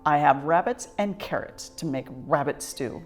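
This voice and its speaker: Storybook dog played by a woman: male, dim but good-natured, earnest, easily fooled, animated delivery. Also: deep voice, moderate pace, faint music behind. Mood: trusting.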